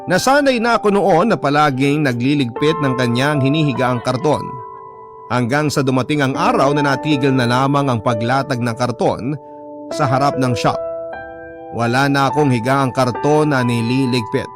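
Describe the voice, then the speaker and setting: A Filipino man playing a shop owner, narrating his own remorseful story for a radio drama in a measured, confessional way. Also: mid-range voice, close to an everyday voice. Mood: reflective. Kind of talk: first-person dramatised narration for radio programme. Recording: loud music bed behind voice.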